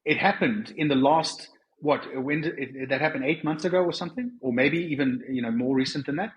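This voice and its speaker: A man with a South African accent talks in a conversational interview style at a medium pitch. He explains his points earnestly and often restarts or rephrases mid-sentence. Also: a little fast, lo-fi sound. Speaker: podcast guest.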